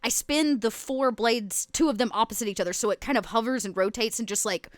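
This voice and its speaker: A woman's voice: fairly high pitch, a little fast, no echo, clean studio sound. A woman playing a tabletop role-playing game, casually thinking aloud as she improvises her moves.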